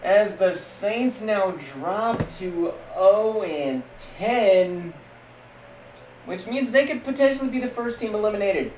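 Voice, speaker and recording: A young man streaming casual, jokey play-by-play of a simulated football league, getting loud on big plays. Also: high-pitched, expressive, slow. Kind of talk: live-stream game commentary. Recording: echoey room with faint background noise.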